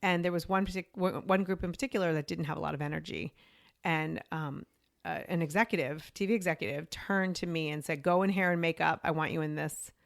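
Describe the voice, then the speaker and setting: Gravelly low-voiced woman telling her story. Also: brisk, no room sound, clean studio sound. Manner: animated, chatty, quotes herself and others. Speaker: podcast guest. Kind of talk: conversational interview storytelling.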